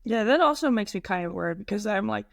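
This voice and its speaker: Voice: mid-range voice, lively intonation, fast, creaky, a bit bright, dry recording, studio quality. A young speaker from the Netherlands, a native Dutch speaker, talking casually in English in a thoughtful, questioning way. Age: young adult. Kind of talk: casual conversational discussion.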